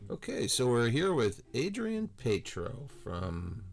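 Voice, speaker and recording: A man's voice: medium pitch, wildly swooping pitch, slow, vocal fry, a little hiss or hum. A man hosting a carving class video, interviewing his student in a joking, dry, teasing way. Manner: teasing, dry humor, chuckles often. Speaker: carving instructor and channel host.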